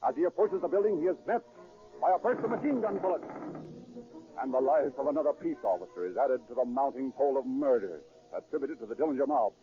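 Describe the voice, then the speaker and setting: A man's voice: medium pitch, expressive, fast, faint music behind. A man delivering dramatic scripted narration in the style of 1930s radio. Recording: tinny, phone-like 1930s radio recording.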